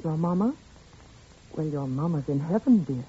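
Muffled vintage radio: genteel, anxious family friend, mellow and expressive. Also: female voice, low-pitched, normal speed, husky, heavily acted. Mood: gentle coaxing. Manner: soothing, hesitant. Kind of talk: 1940s radio suspense acting. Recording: muffled 1940s radio recording.

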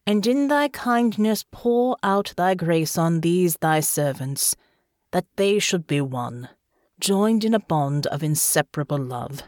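Woman voicing a slow, solemn male bishop. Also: on the low side, a little mellow, no room sound, clean studio sound. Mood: solemn, prayerful. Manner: slow, intoning, ceremonial delivery. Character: solemn male bishop officiating a wedding, grave and stately. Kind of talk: liturgical recitation in an audiobook.

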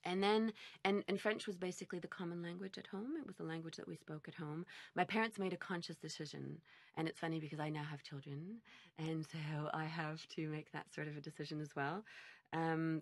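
A woman's voice: low voice, creaky, a bit bright, no room sound. A middle-aged woman from Montreal, an interpreter answering interview questions in a reflective, conversational way, often reworking her sentences as she goes. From Montreal, Canada. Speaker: conference interpreter being interviewed.

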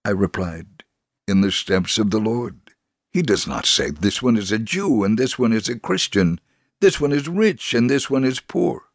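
Devout Holocaust survivor in radio memoir drama: low pitch, earnest and measured, firm conviction, close dry sound. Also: male voice, animated, no room sound. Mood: earnest, instructive. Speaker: dramatic reader. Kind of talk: dramatic reading of a first-person memoir.

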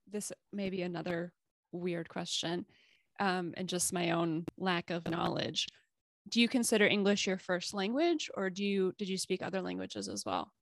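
A woman from the American Midwest who interviews her guest in a gentle, attentive way and often murmurs short acknowledgments. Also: on the low side, lively intonation, normal speed, creaky, no room sound.

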